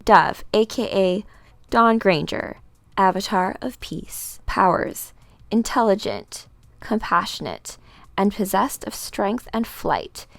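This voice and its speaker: A woman narrating a comic book dramatically, in a measured, theatrical way. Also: mid-range voice, gravelly, dry recording. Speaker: host and reader.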